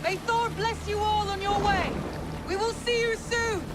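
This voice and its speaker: A woman's voice: very high-pitched, steady tone, unhurried, heavy background noise. An actress playing a loyal, dutiful Viking woman calling out to her crew in a video game cutscene.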